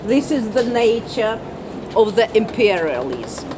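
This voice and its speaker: A woman's voice: a little high, big pitch swings, measured pace, noisy background. A woman co-host earnestly explaining her views in a casual discussion.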